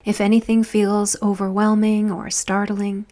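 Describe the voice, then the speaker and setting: Woman, guided meditation narrator, very slow pace, soft breathy phrase endings, soothing, medium pitch. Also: flat delivery. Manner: slow, soothing, deliberate with long pauses.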